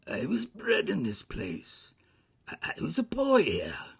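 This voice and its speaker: A man voicing a fervent, emotional old man in a storybook, with a breathy, raised voice. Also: a little high, huge pitch swings, takes it slowly, voice pushed up, heavily acted. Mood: amazed, fervent. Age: older. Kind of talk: dramatic storybook character reading.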